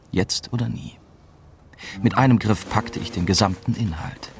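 A man's voice: lowish voice, natural voice. A man playing a reflective young journalist, narrating his story in a scripted radio drama.

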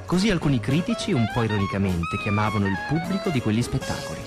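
A man's voice: medium pitch, huge pitch swings, quick pace. An actor playing a wry, nostalgic man narrating theatre memories for radio. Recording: music behind the voice. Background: music.

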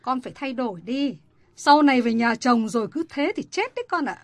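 An actress playing a strict, quick-tempered mother, scolding her daughters in a family drama. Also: high-pitched, animated, creaky, no echo. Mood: lecturing. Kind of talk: radio-style family drama.

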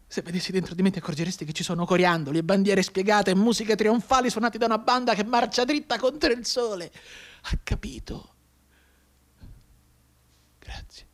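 An actor playing a maimed, bedridden war veteran in a theatrical radio monologue, intense and declamatory, anguished and bitterly sardonic. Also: male voice, sits high, fairly even intonation. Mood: grateful, overwhelmed. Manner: intense, declamatory, halting between hushed and cried-out delivery.

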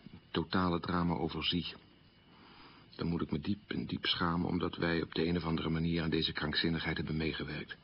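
Casting: middle-aged man, low voice, earnest and self-reproaching, confessional radio drama, muffled old recording. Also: slight background noise. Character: guilt-ridden middle-aged son of a wartime collaborator.

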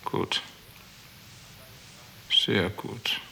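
An actor playing a cool, measured superior in a sinister agency in a 1960s German radio drama, terse and appraising. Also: male voice, sharp tone, heavily acted. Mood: cool approval. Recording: faintly noisy 1960s radio recording.

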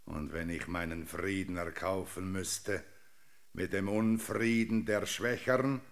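Radio drama role: self-seeking emigrant to America, defiant and theatrical, slow solemn delivery, medium pitch. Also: male voice, fairly bright tone.

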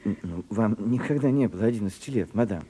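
A man's voice: fairly low pitch, animated, fast, mellow, husky. An actor in a radio play, playing a reluctant, deferential male secretary who protests meekly and fussily. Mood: meek, puzzled. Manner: fussy, hesitant, meekly protesting. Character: reluctant, put-upon, deferential male secretary to a grand madame. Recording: reverberant radio drama recording.

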